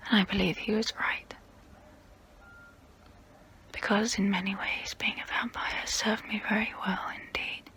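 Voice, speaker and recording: Casting: ancient female vampire played by a woman for ASMR role play. Breathy whisper, crisp bright edge, unhurried, proud yet wistful. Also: medium pitch, level tone. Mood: intimate, wistful. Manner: slow, intimate, close-up, deliberate pauses.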